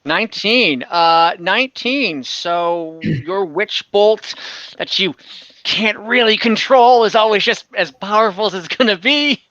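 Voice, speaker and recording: A game master with a bright, forceful voice runs a tabletop roleplaying session in a conversational, explanatory way. The pitch sits mid-range, and the audio is dry but slightly dull, like an online call. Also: expressive, a little slow, dry recording.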